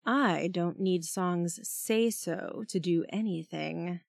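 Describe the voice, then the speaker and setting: Woman playing a slow, menacing male fire spirit. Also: fairly low pitch, vocal fry, no room sound, clean studio sound, heavily acted. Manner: snarling, taunting.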